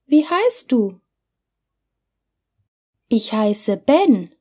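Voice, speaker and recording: A woman's voice: fairly high pitch, very animated, extremely slow, a little mellow, breathy edge. A woman language teacher reading scripted example dialogues for beginners in careful, simple short phrases.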